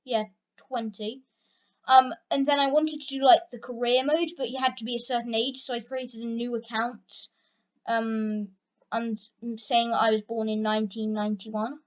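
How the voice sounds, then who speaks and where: High-voiced chatty British teen girl. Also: little pitch movement, moderate pace. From Britain. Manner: chatty, rambling, lots of fillers. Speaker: channel host. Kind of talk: casual Q&A vlog. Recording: dull lo-fi recording.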